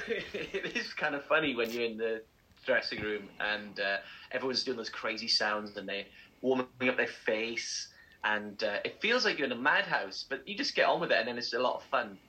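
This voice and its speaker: A man co-hosting a casual show, animated and theatrical, laughing easily, heard over a video call. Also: medium pitch, normal speed, rough recording. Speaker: co-host. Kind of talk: casual chat.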